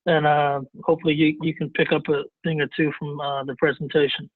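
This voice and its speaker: A man with a cold, his voice raspy and hoarse, presenting a webinar in a halting way full of fillers and restarts. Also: on the high side, level tone, no echo. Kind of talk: casual presentation. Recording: dry, tinny phone-like line.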